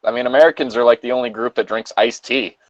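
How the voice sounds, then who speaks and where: A man co-hosting a podcast, reading aloud and joking casually in a wry, halting way on a slightly dull microphone. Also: medium pitch, steady tone, no echo. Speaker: podcast co-host reading fan fiction aloud.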